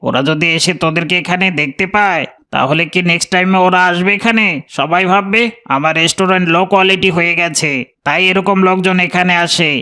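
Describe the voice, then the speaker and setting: A man playing a snobbish, haughty restaurant owner in a cartoon, a figure who talks down to the poor and flatters the important. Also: high voice, brisk, clean tone. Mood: scornful, irritated. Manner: haughty, scolding. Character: snobbish, rude male restaurant owner, fawning to important customers. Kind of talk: cartoon voice acting.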